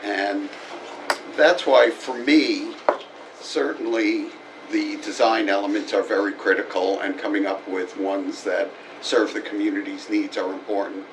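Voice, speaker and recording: A committee member at a public meeting giving measured, deliberate opinions. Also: male voice, on the low side, steady tone. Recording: distant mic with background noise.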